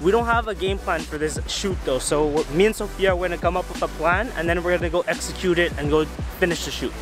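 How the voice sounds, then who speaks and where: A man's voice: sits high, fast. A man in his twenties talking casually to camera as a vlog host. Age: 20s. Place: car interior, a room, outdoors by a river at different times. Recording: music behind the voice. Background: music.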